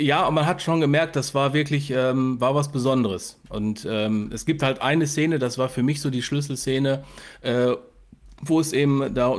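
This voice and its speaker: A man hosting a casual interview, feeling his way through his sentences with self-corrections. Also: medium pitch. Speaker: podcast host and interviewer.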